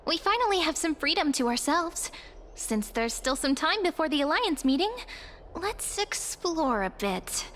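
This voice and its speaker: High pitched friendly girl adventurer from a game, gentle, curious, very expressive. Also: measured pace, a little hiss or hum, full character voice. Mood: light, friendly. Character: friendly, curious, thoughtful young female adventurer companion. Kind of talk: video game character acting.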